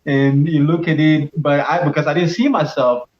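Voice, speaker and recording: A man's voice: on the high side, steady tone, normal speed, darkish tone. Man from Cameroon, middle-aged, earnest interview guest; dull audio. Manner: reflective, earnest, with many restarts and fillers. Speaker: pharmacist and leadership coach, guest. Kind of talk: casual interview answers.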